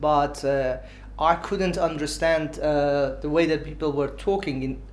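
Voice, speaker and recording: A man in his forties with an Iranian accent answering interview questions, pausing often on filler sounds. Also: on the high side, fairly even intonation. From Iran. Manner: hesitant, many filled pauses. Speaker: dentist interviewee.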